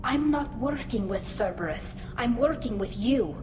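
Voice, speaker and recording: A woman's voice: on the high side, animated, measured pace. A woman playing an earnest, anxious and loyal young alien engineer in a video game, speaking through a helmet filter. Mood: worried, sincere. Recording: roomy game audio with music behind.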